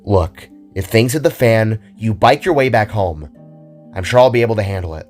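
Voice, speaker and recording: A man's voice: fairly low pitch, moderate pace, darkish tone, lightly acted. A man voicing a cocky, casual young girl hero in an audio story, with music behind. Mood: confident.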